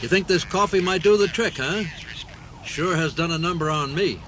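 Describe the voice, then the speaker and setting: A man's voice: a little high, moderate pace, a bit bright, slight background noise, natural voice. A man playing a distracted, rambling middle-aged police chief in a comic radio play. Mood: flustered, grumbling. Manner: rambling, absent-minded, dramatic. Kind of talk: comic radio theater acting. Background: music.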